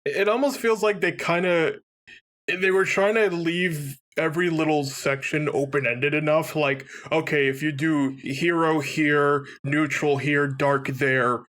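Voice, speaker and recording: A man's voice: on the high side, steady tone, unhurried, fairly bright tone. A young man giving casual, joking commentary on a video game alongside friends. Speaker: let's play co-commentator.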